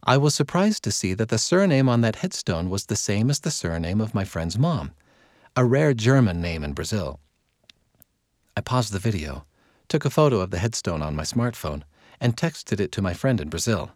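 A man reads prepared text as a narrator, his voice sitting on the low side. His phrasing is measured and orderly, that of someone reading an article aloud. The sound is very dry, with no room around the voice. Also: very animated, darkish tone, dry recording. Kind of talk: scripted narration.

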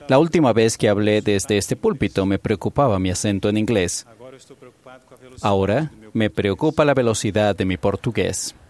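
A man delivering a formal church conference sermon in the words of an interpreter, in a measured way. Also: on the low side, a little mellow.

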